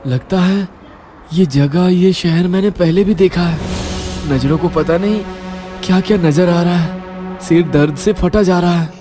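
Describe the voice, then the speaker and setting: An actor playing a carefree, romantic young man in an audio story, youthful and expressive. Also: sits high, unhurried, music in the background. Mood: confused.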